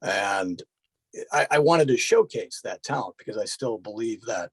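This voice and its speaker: Middle aged guy guest, lowish voice, super dry close sound, casual and joking. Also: expressive, normal speed, husky, no room sound. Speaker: guest, director and voiceover actor. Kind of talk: casual interview talk.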